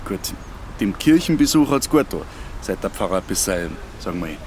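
A man's voice: low voice, sing-song, fairly quick, darkish tone, slight background noise. An actor with a Bavarian accent playing a nosy, dryly joking village man in a dialect radio play. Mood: nosy, teasing.

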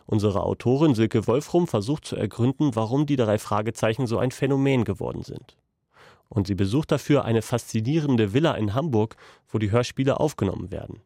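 A man's voice: fairly low pitch, mellow, no room sound. A man presenting a radio programme with a scripted introduction, close to the mic. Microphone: close studio mic.

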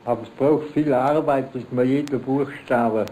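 A man's voice: mid-range voice, fairly even intonation, normal speed, dark tone, slight background noise, rough recording. A man explaining informally as an amateur inventor.

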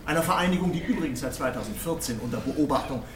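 A roomy, echoing recording of an actor as a stern male prosecutor in a courtroom radio play. His voice is high for a man, and his formal delivery is cutting and insinuating. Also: animated, fast talker, lightly acted. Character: stern, insinuating male public prosecutor, indignant when challenged. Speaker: public prosecutor in a radio drama. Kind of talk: courtroom radio drama acting. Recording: roomy courtroom echo, faint noise.

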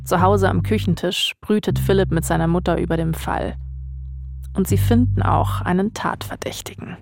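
A woman's voice: on the low side, steady tone, moderate pace, creaky, darkish tone. A woman hosting and narrating a scripted podcast story. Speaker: narrator, host.

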